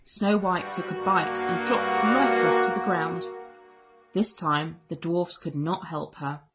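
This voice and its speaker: A woman narrating a children's story aloud in an expressive storytelling style. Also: on the low side, a little slow. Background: music.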